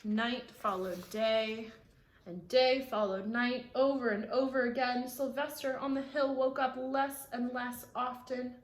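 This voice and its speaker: High-voiced woman reading aloud, echoey room. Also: unhurried. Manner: expressive, explains words to young listeners. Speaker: read-aloud storyteller. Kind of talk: children's picture-book read-aloud with asides.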